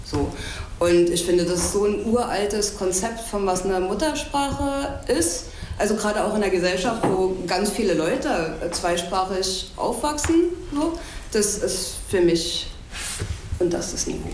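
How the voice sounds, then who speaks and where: A woman's voice: mid-range voice, animated, a little slow, a little mellow, a little hiss or hum. A woman who is a translator, stating her opinions directly during a panel discussion. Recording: echoey room.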